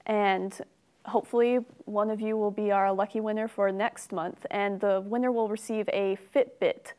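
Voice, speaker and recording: A woman's voice: medium pitch, steady tone, normal speed, darkish tone. A young woman hosting a webinar in a studio, reading out questions and making upbeat announcements. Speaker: webinar host. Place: studio set.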